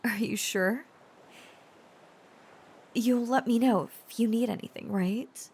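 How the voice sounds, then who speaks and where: Woman acting as slow, tender dragon-blooded girlfriend. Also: on the high side, a little mellow, full character voice. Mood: tender, adoring. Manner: halting, earnest, breathy whispering at tender moments. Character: tender, protective dragon-blooded girlfriend confessing devoted love. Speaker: voice actor. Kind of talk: intimate romantic audio roleplay.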